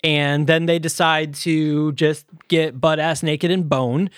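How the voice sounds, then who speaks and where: A fairly high-voiced young man rambles through a casual movie chat, stumbling over restarts and swearing freely. His voice is close and dry, with no room sound. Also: fairly even intonation, takes it slowly, clean tone, dry recording. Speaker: podcast co-host.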